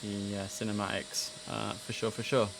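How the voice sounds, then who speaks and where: A man's voice: fairly low pitch, flat delivery, moderate pace, vocal fry, background noise. A man commentating casually on a simulator game, chatting and musing to himself, with clipped radio calls. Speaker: streamer playing an air traffic control simulator.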